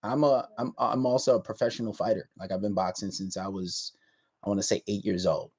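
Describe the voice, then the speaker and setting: A man's voice: lowish voice, fairly even intonation, brisk, darkish tone, slightly rough. A man in his thirties hosting a casual livestream chat, talking in a laid-back, drawling way that trails off and restarts. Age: 30s. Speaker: livestream host.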